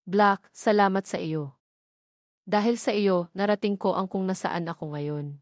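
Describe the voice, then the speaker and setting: A woman narrator voicing an earnest, devoted young female student in an audio story, with measured, read-out lines. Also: medium pitch, darkish tone, no room sound, clean studio sound, lightly acted. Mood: grateful.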